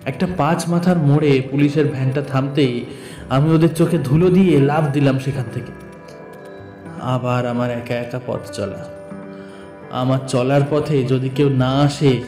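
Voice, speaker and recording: A man narrating a literary story dramatically in the first person, deliberate and theatrical, with drawn-out pauses. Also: mid-range voice, fairly even intonation. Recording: echoey voice with music bed. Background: background music.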